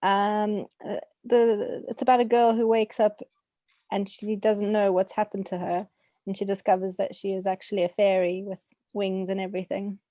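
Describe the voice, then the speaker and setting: A woman's voice: medium pitch, level tone, normal speed, warm tone, no room sound. A young adult woman with a South African accent, talking casually as an author being interviewed.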